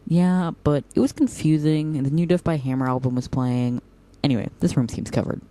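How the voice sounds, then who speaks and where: A man's voice: fairly high pitch, brisk, mellow, close to an everyday voice. A man playing a whimsical, teasing young operative in an audio drama, talking in a playful, mock-dramatic way.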